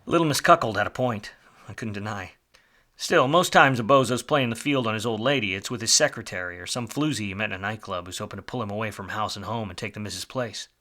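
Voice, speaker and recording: Man acting a hardboiled noir detective, low and sharp. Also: fast. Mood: brooding, wry. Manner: wry, slangy, tough-guy delivery. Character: hardboiled, washed-up male private detective, wisecracking and world-weary. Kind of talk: film noir radio play, first-person narration and dialogue.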